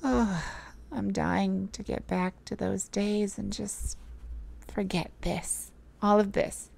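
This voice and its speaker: A woman playing a tender, heartbroken but resolute mage in an emotional audio roleplay, speaking intimately and haltingly with a pleading manner. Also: mid-range voice, fairly even intonation, vocal fry, a little mellow, full character voice. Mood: tender, wistful.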